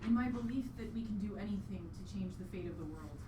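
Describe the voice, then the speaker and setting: A woman's voice: mid-range voice, fast talker, background noise, natural voice. A woman playing an earnest, determined mother in a live radio play. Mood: earnest, grave. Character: earnest, determined mother on a bog outing with child. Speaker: stage actor. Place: live theatre performance in a venue. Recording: roomy distant live stage recording, loud music.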